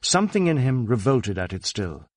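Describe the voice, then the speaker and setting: A man's voice: medium pitch, very animated, normal speed, no room sound. A man reading a crime novel aloud as scripted narration. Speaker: audiobook narrator.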